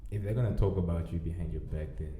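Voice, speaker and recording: A man with a low voice and a very dark, mellow tone hosts a relaxed podcast chat. He talks in an easygoing way and laughs often. The recording sounds echoey, with a faint room ambience behind him. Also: quick pace, a little hiss or hum. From Namibia. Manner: laid-back, laughs often, swears casually. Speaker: podcast host. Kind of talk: casual conversation.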